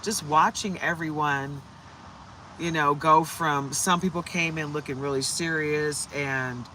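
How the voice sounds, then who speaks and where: A hoarse woman from the United States doing a casual, upbeat tarot livestream and greeting viewers by name. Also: sits low, fairly even intonation, unhurried, bright, forceful tone, a little hiss or hum. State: hoarse from shouting. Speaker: tarot reader.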